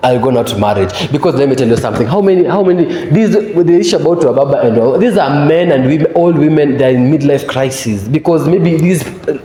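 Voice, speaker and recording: A man's voice: a little high, fast talker, few pauses, mellow, reverberant, long reverb tail. A man in his twenties with a Kenyan accent, hosting a casual panel chat and mixing Swahili slang into his English. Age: 20s. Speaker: host.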